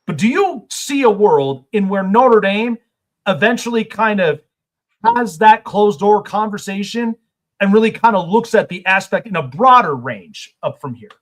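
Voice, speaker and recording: A man's voice: high voice, lively intonation, measured pace. A man hosting a sports talk show, asking long rambling questions in a casual chat. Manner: rambling questions with many fillers.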